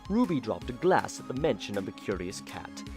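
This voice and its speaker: A male narrator with a very animated, swooping delivery, hamming up the drama. He tosses in wry asides, and soft music runs underneath. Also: on the low side, brisk.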